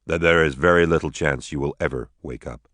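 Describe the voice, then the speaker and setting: A man's voice: extremely low pitch, brisk, fairly bright tone, no echo. A man voicing a weary, exasperated surgeon, reluctant yet commanding, with an emphatic, brusque manner. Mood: grave.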